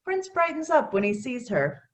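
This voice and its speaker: Casting: female narrator, slightly high voice, reading scripted stage directions. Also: big pitch swings, moderate pace.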